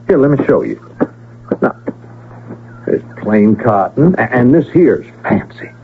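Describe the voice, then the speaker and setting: Man acting animated folksy frontier peddler. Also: medium pitch, unhurried, close to an everyday voice. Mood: salesmanlike. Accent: American. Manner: folksy drawl, teasing, ingratiating. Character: folksy, cheeky, fast-bargaining traveling frontier peddler. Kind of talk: 1970s radio western acting. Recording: noisy, tinny old radio broadcast.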